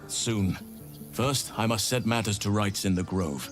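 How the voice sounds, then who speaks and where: An actor playing a calm, wise druid leader in a video game, speaking in a measured, solemn way. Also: male voice, lowish voice, lightly acted. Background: music.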